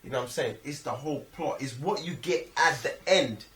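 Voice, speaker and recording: A man with a London accent co-hosting a slangy, casual film discussion, expressive and often checking that he is understood. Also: medium pitch, a little fast. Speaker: film review podcast co-host. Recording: echoey room, distant microphone.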